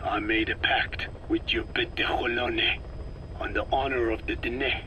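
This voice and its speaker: An actor playing a grave, cryptic old scientist in a video game, with a breathy, raspy voice and formal, deliberate phrasing. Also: male voice, medium pitch, fairly even intonation, pushed and bright. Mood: grave, commanding. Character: frail, ancient scientist, grave and cryptic elder. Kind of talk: dramatic video game voice acting, expository. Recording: clearly noisy taped field recording.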